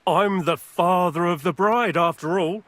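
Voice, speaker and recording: An actor playing a chatty, aggrieved middle-aged medieval village bailiff in a video game. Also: male voice, high voice, measured pace, fairly bright tone, no echo. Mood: indignant. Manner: garrulous, grumbling.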